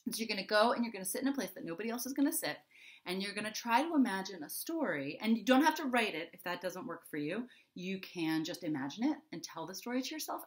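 A middle-aged woman speaking to young children in an expressive, conversational way, with emphatic pauses, as an author reading and explaining. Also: fairly low pitch. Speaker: children's author reading her book and giving a writing prompt. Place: small indoor home room.

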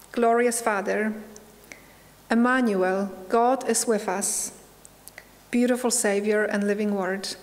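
A middle-aged Polish woman speaks at a church pulpit, her slightly high voice earnest and searching. She pauses and restarts as she tells her testimony. The hall around her rings with a long reverb. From Poland. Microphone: pulpit microphone.

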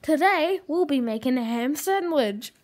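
High-voiced woman hosting a puppet cooking show. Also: animated, darkish tone. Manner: hesitant, halting, lots of ums. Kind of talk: improvised puppet comedy. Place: kitchen table, indoors.

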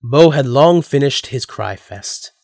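A man's voice: medium pitch, expressive, takes it slowly, gravelly, darkish tone, dry recording, clean studio sound. A man reading scripted story narration as the narrator of an audio drama.

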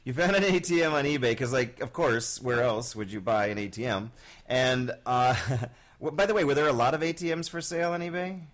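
A man's voice: mid-range voice, fairly quick. A man hosting a casual live video chat, talking loosely with many fillers and frequent laughs. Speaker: show host.

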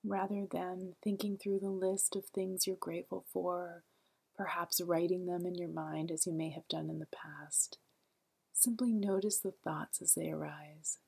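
A woman leading a guided meditation in a calm, gentle, soothing way, with a soft, breathy voice and long pauses. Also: on the low side.

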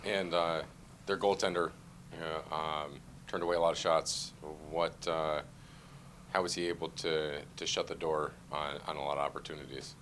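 A man's voice: a bass voice, steady tone, normal speed, a bit bright, slight background noise. A man asking hesitant questions at a press conference, with many fillers. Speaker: reporter.

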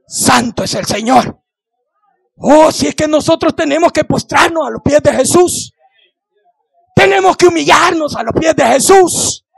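A middle-aged man delivers a fervent sermon in a very high, gravelly, strained voice. He exhorts his listeners, repeating phrases for emphasis and throwing out questions. The sound is dry, from a handheld microphone held close. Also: no room sound. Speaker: preacher. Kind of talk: impassioned Pentecostal-style sermon. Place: indoor church hall, on the platform.

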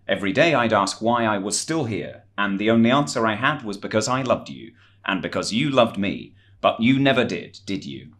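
Low-voiced, awkward British deadpan man. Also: moderate pace. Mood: wounded, dramatic. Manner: hesitant, earnest.

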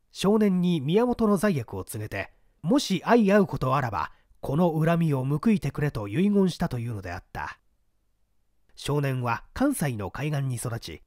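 A man reading a classic mystery story aloud as narrator, measured with dramatic asides. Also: on the high side, a little mellow, no room sound, clean studio sound. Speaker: reader.

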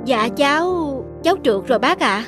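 A woman playing a modest, polite young village student, respectful and deferential, in an animated drama. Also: high-pitched, fairly quick. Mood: dejected. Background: music.

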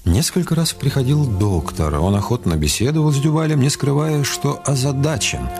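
A man's voice: medium pitch, lively intonation, normal speed, music in the background. A man reading scripted literary narration as the narrator of a radio play.

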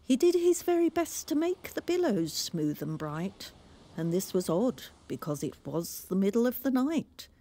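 A woman reading a children's poem aloud in a theatrical, measured storytelling manner. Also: mid-range voice, very animated, warm tone. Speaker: poem reader. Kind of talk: expressive children's poetry recital.

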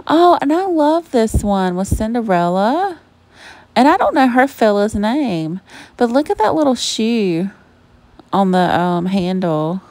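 A woman from Georgia with a Southern accent, chatting warmly to viewers as she browses a store on a handheld phone. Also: medium pitch, dry recording. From Savannah, Georgia, US. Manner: gushing, chatty, addresses viewers as y'all. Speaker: shopping vlogger. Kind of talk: casual shop-with-me chat. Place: inside a retail store. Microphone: handheld phone camera.

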